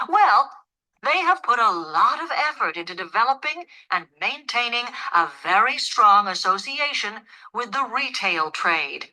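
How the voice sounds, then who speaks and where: A woman delivering a scripted academic lecture in a measured, formal, explanatory manner. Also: fairly high pitch, sharp tone, rough recording. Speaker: lecturer in a listening test.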